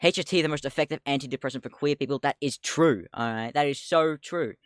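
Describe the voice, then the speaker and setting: A man in his twenties with a deep voice, chatting casually on a livestream, rambling, laughing often, swearing and getting sidetracked. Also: quick pace, clean tone, no room sound, clean studio sound. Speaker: streamer.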